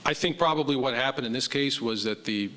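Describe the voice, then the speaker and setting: Police captain explaining in a reverberant hall. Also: male voice, medium pitch, bright, forceful tone, a little hiss or hum. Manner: measured, explanatory, admits uncertainty. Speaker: police captain answering board questions. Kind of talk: formal public meeting testimony. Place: city council chamber.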